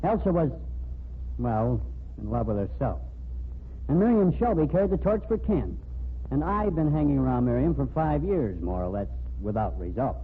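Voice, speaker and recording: An actor playing a cynical, defensive wisecracker in an old-time radio mystery, with a husky voice. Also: male voice, medium pitch, sing-song, normal speed, a little hiss or hum, lightly acted. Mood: wry. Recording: thin, phone-like vintage radio recording.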